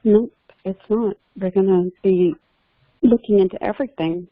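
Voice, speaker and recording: A woman's voice: mid-range voice, normal speed, no echo. A woman joining a casual discussion of a case over a narrow phone connection. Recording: narrow, tinny phone-line sound.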